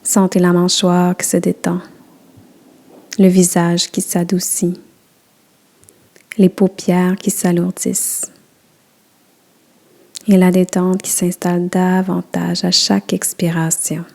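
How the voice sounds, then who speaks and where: A woman guiding a yoga nidra relaxation session, giving soft, soothing instructions. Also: fairly low pitch, monotone, slow, creaky, pauses often. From Quebec. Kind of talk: guided relaxation.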